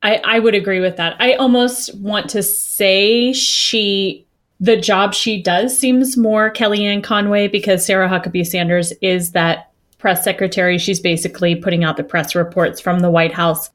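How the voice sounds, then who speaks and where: A woman's voice: medium pitch, steady tone, normal speed. A woman co-hosting a casual podcast, laughing often and cracking sarcastic jokes. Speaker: podcast co-host.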